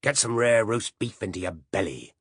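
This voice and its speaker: A man's voice: lowish voice, animated, normal speed, husky, dry recording, studio quality, voice pushed up. A man reading aloud voices a blunt, domineering older man who growls his opinions at the dinner table. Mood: gruff commanding. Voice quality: growling, commanding.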